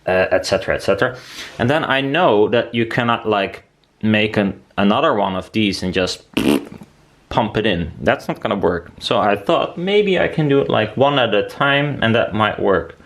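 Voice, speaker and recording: A man's voice: lowish voice, animated, moderate pace, fairly bright tone. A man giving a casual workshop demonstration as a DIY maker, rambling and thinking aloud as he goes. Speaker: DIY maker presenting a build video.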